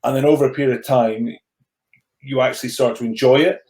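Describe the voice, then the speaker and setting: A man's voice: medium pitch, fairly even intonation, fairly quick, a little mellow. A man in his thirties with a stammer he mostly keeps in check, telling his story earnestly and often repeating words as he restarts. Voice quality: stammer, mostly under control. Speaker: podcast guest, rugby player turned coach. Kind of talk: candid personal storytelling in conversation. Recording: echoey room.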